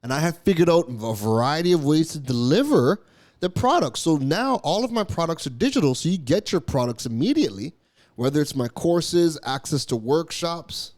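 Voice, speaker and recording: A man's voice: on the high side, lively intonation, normal speed, a little mellow. A man raised in Canada hosting a business podcast, talking emphatically, repeating key phrases and now and then stumbling over his words. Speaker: podcast host. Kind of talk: solo business podcast monologue.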